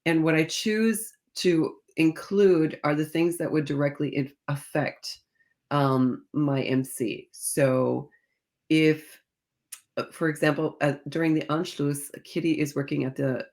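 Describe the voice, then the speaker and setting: Creaky-voiced woman, author in interview. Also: deep voice, a little mellow. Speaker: guest author discussing own novels. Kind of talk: conversational interview answers.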